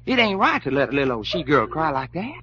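An actor playing a brash, soft-hearted adventurer with a folksy Southern drawl in an old-time radio adventure. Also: male voice, a little high, very animated, fast. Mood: concerned, curious. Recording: muffled old radio recording with hum, noise.

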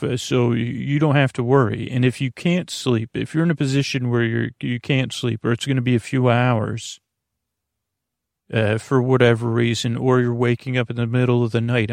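A man hosting a sleep podcast in a gravelly, creaky voice, with a meandering, halting, whimsical way of talking that keeps interrupting itself. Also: medium pitch, a little mellow, dry recording, clean studio sound. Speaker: podcast host. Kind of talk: rambling bedtime sleep-podcast monologue.

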